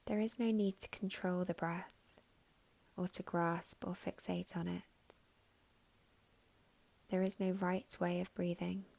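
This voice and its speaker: A woman guiding a meditation in a soft, soothing, unhurried way with long pauses, her voice breathy and slipping toward a whisper at phrase ends. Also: lowish voice, little pitch movement. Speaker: meditation guide. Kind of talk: guided meditation narration.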